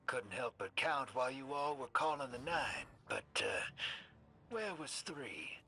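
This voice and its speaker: An actor playing a folksy, roguish ship pilot in a video game, with slangy drawling talk and a gravelly voice. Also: male voice, mid-range voice, wildly swooping pitch, unhurried, pushed and bright, exaggerated voice. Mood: puzzled.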